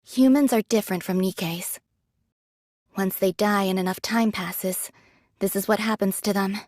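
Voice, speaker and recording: A woman's voice: mid-range voice, fairly even intonation, moderate pace, darkish tone, no echo, clean studio sound, natural voice. An actress playing a modest, pragmatic young female android soldier in an anime game, talking in a casual, thoughtful, matter-of-fact way.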